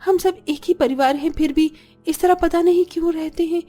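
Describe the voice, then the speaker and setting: A woman's voice: extremely high pitch, steady tone, normal speed, warm tone, faint music behind. A woman voicing a poor little girl in a cartoon story, innocent, wistful and plaintive. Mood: sad, wistful.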